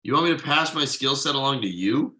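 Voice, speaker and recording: A man in a script table read playing a defensive, evasive husband and father, conversational and a little halting. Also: medium pitch, expressive, sharp tone, low-quality recording. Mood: defensive, exasperated. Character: defensive, evasive middle-class husband and father writing ad copy.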